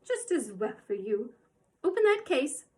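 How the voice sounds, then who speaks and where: A woman voicing a tiny, bossy old woman who runs a shop, with a shrill, squeaky voice that turns from scolding to sweetly coaxing. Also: big pitch swings, a little slow, a little mellow. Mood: sharp.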